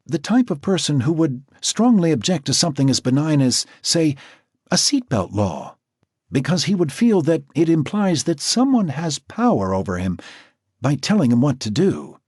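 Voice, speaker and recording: A man reading an audiobook, voicing a calm, clinical woman doctor in a measured, analytical way. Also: on the high side, husky, no echo, clean studio sound, pitched above the performer's own voice.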